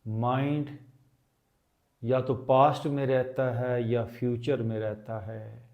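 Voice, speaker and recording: A man leading a guided meditation as a teacher, speaking calmly and deliberately with long pauses. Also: medium pitch, gravelly, highs cut off.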